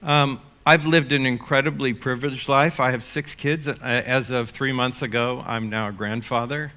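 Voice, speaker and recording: An older man from the United States, giving a conference talk in an energetic, rambling way with many fillers and restarts. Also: medium pitch, moderate pace. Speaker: conference speaker. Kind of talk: keynote talk. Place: conference stage.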